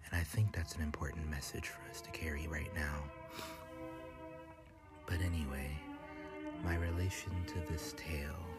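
A man giving a measured, solemn reading in the style of a gothic audiobook. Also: very deep voice, little pitch movement, loud music behind the voice. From United States, Louisiana. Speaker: guest reader, horror writer.

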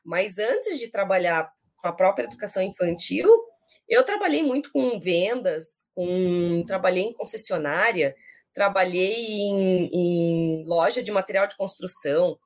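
A Brazilian woman in her thirties with a rural São Paulo accent and drawn-out r, talking casually as an interview guest. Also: fairly low pitch, lively intonation. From São Paulo state, Brazil. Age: 30s. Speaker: interview guest, education professional.

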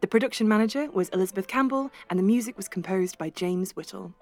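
A woman's voice: medium pitch, quick pace, darkish tone. A woman reading scripted programme credits as an announcer. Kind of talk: scripted narration. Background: music.

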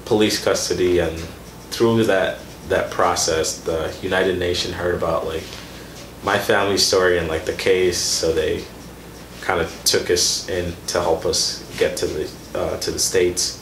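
A man's voice: low-pitched, fairly even intonation, unhurried, a bit bright. A man in his twenties from Sudan and Kenya, chatting informally as an interview guest and telling personal stories in casual, slangy speech. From born in Sudan, raised in Kenya. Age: 20s. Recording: echoey room with some background noise.

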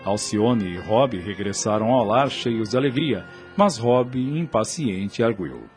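Male radio drama narrator, soft music behind. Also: fairly low pitch, animated. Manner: measured, literary storytelling.

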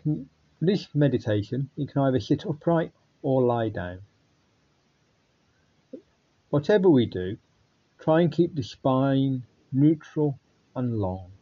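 A man guiding a body scan meditation in a calm, unhurried way with long pauses. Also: mid-range voice, lo-fi sound. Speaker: meditation guide.

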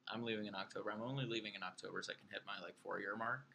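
A man's voice: fairly low pitch, steady tone, rapid-fire, creaky, pushed and bright, slight background noise. A man in his twenties, a returning podcast guest, telling stories in a dry, matter-of-fact way. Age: 20s. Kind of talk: casual chat.

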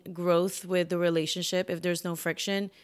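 A woman's voice: lowish voice, flat delivery, normal speed, clean tone. A woman born in the Philippines who moved to the U.S. as a child, co-hosting a relationship podcast and talking thoughtfully with her husband. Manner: thoughtful, soft-spoken, laughs lightly. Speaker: podcast co-host. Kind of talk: casual conversation with her husband.

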